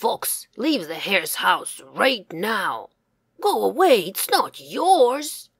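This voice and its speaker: A woman voicing a bold, well-meaning male wolf in an emphatic, deliberate way. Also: medium pitch, very animated, husky, no echo, clean studio sound, full character voice. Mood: commanding.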